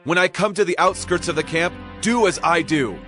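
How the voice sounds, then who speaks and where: An actor playing an earnest, emphatic Israelite war leader in a dramatized scripture production. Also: male voice, high voice, animated, brisk, fairly bright tone, faint music behind. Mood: rousing command. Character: Gideon, earnest Israelite leader of fighting men.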